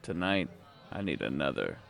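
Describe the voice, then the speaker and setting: An actor playing a world-weary, hard-boiled private detective, narrating a noir audio drama in a wry, brooding, gravelly voice. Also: male voice, rumbling low, big pitch swings, normal speed, fairly bright tone, full character voice. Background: music.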